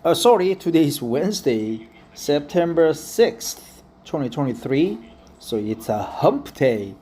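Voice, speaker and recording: A man in his fifties from South Korea with a Korean accent, reading an article aloud to practice his English. He pauses, corrects himself and repeats phrases. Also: fairly high pitch, big pitch swings, mellow, slight background noise. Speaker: office worker practicing English.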